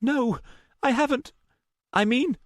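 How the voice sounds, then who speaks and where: A man's voice: high voice, expressive, moderate pace, a little mellow, dry recording, studio quality, pitched above the performer's own voice. A man voicing a frightened, defiant young woman in an audiobook story, strained, emotional and insistent. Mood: frightened, pleading.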